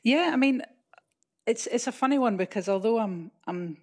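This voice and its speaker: A woman with a mild Scottish accent, a linguistics professor explaining calmly in a radio interview. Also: on the high side, moderate pace. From Scotland.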